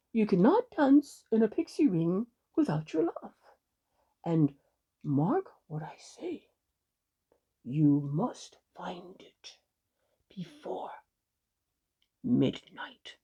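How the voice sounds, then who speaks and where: Woman as a slow, solemn old pixie fiddler. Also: fairly high pitch, big pitch swings, mellow, slightly rough, muffled recording, heavily acted. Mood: stern warning. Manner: slow, grave, deliberate.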